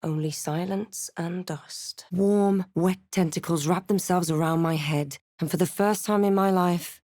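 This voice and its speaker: A brooding female fantasy adventurer, acted by a woman, telling her own journey for a video game. Her voice is somewhat low and closely, dryly recorded. The delivery is measured, literary storytelling. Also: steady tone, a little mellow, no echo, studio quality, natural voice. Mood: somber. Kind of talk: scripted first-person game narration.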